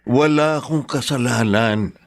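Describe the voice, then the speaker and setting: A man's voice: medium pitch, measured pace, close to an everyday voice. A man playing a defensive, stubborn jailed father, emphatic and aggrieved, in Filipino-accented Tagalog radio drama. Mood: defensive, indignant. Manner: indignant, emphatic.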